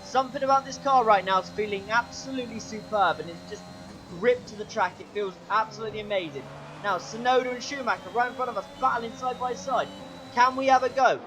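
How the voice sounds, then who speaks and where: A man commentating his own racing game run, excitable play-by-play with a sore, strained throat. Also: fairly quick, noisy background. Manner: excitable play-by-play, pitch jumps when overtaking. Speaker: gaming channel host.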